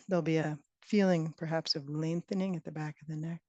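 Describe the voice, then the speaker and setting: A woman leading a guided meditation as a teacher, speaking in gentle, unhurried phrases with long pauses. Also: sits low, steady tone, no echo. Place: online retreat session, close dry sound. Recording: dull, band-limited online call audio.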